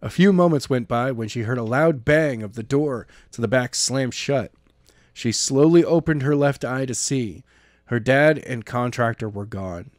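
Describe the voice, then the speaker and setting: A man's voice: mid-range voice, measured pace, dry recording. A man reading a story aloud as an amateur narrator, now and then stumbling and rereading a phrase. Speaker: audiobook narrator.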